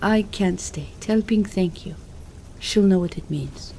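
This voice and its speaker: A woman's voice: mid-range voice, measured pace, vocal fry, dark tone, a little hiss or hum. A woman acting understated, dry, battle-worn heroes in a fantasy audio drama. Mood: subdued.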